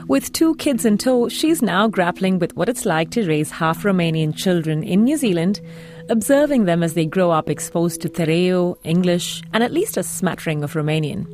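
A woman radio reporter narrating a scripted feature in an even, conversational way. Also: on the low side, normal speed, soft background music.

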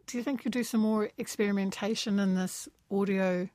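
A woman's voice: mid-range voice, steady tone, brisk, darkish tone, dry recording. A woman with a New Zealand accent hosting a conversational interview, asking questions in a thoughtful, encouraging way. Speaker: interviewer, host.

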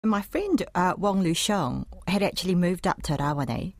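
Low-voiced woman. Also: moderate pace, no echo, studio quality. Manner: animated retelling with many fillers. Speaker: writer. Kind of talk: interview storytelling.

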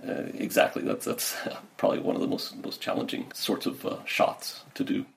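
A man answering interview questions about animation in a hesitant way, often restarting his sentences. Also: normal speed. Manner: hesitant, with repeated starts.

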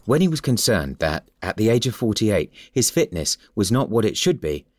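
A man reading a scripted story as narrator, in a measured storytelling manner. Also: lowish voice, sing-song, a little mellow, dry recording, clean studio sound.

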